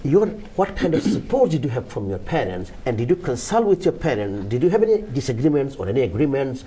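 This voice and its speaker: Guy hosting a tv panel chat, mellow kinda raspy voice, really animated intonation. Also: mid-range voice, quick pace. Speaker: TV talk show host. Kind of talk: conversational panel interviewing.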